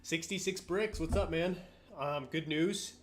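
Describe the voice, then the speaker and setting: A man's voice: on the high side, a little slow, slight background noise. Sick-sounding man chatting on a live stream. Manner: relaxed, greets chatters by name. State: sick, nasal and throat-clearing. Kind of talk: casual live stream chat, reading viewer comments.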